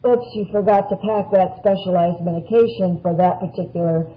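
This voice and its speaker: A woman's voice: fairly low pitch, fairly quick. Plain-spoken woman on dull lo-fi audio. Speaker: nurse, podcast guest. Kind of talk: conversational explaining.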